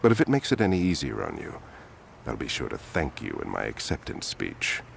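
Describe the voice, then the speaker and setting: An actor playing a smug, scheming male politician villain in a video game cutscene, smooth and condescending. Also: a bass voice, huge pitch swings, brisk, a little hiss or hum, full character voice. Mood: menacing. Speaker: corrupt politician.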